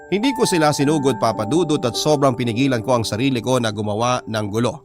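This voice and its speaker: A man's voice: mid-range voice, fast, over music, close to an everyday voice. A man with a Filipino accent narrating a scripted first-person story.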